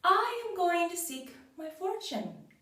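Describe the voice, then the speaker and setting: A woman voicing a cheerful, eager young boy in a children's folktale, with a bright sing-song delivery. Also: extremely high pitch, sing-song, slow pace, pitched above the performer's own voice, full character voice. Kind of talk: storybook character voice for small children. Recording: roomy echo, far from mic.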